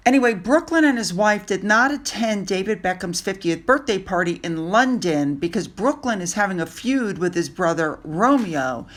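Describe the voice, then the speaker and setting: Chatty, opinionated woman hosting gossip commentary. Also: fairly low pitch. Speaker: host of a gossip vlog. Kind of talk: casual news commentary.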